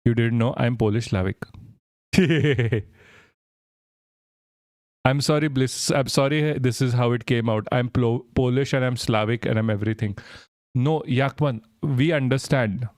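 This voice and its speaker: A man chatting on a live stream, rambling, swearing and joking as he answers his chat. His voice is smooth and clear at a middle pitch. The sound is dry and close on a desk mic. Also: a little slow, pauses often, a little mellow, no echo. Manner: rambling, profane, jokey, reads chat aloud.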